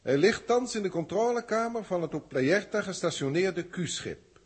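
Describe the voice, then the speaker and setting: An actor playing a detached alien senior proctor who reports on human test subjects in a formal, measured way, in an early 1960s radio drama. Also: male voice, high-pitched, animated, husky, exaggerated voice. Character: cold alien overseer testing humans, middle-aged man.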